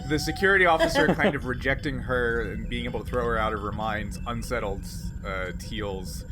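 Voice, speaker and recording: A man's voice: medium pitch, fairly quick, background noise. A man working as game master, narrating and refereeing a tabletop game in an improvised, explanatory way. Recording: music behind the voice. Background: music.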